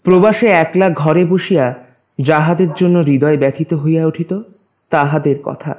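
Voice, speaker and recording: A man narrating a literary story as a scripted reading, in a formal, measured storytelling manner. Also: fairly high pitch, darkish tone.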